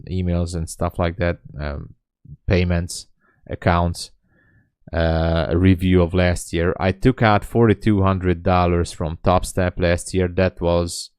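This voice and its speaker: A man in his late thirties with a low, deep voice talks casually on a live trading stream. He is chatty and candid and responds to viewers' comments. The sound is dry and close, with almost no room echo. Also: a little mellow, clear, resonant tone. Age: 30s. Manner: candid and self-deprecating. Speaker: day trader streaming live. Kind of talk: casual livestream chat.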